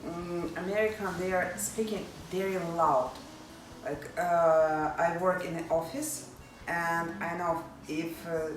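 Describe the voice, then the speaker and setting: Slow, halting woman. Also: fairly low pitch, far from the mic, background noise. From Ukraine. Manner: halting, searching for words. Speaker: interviewee. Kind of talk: casual interview answers. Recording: echoey room, off-mic.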